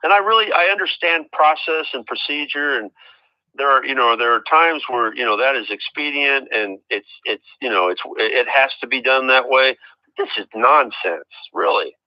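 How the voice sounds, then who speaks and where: An American man talking on a phone call as a caller with a complaint, halting and exasperated, repeating himself. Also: mid-range voice, dry recording. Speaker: caller, relative of a patient. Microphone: telephone. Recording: dull lo-fi telephone line.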